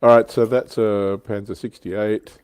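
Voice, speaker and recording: Low-pitched man hosting a casual chat. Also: fairly even intonation, a little slow, a little mellow, clean tone, dry recording. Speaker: livestream host. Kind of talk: casual hobby chat, reading viewer comments.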